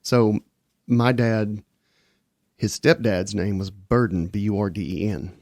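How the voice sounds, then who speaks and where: A man's voice: lowish voice, fairly even intonation, unhurried, a little mellow, dry recording, clean studio sound. A man guest on a casual podcast, telling long stories with many restarts. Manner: rambling, self-interrupting storyteller.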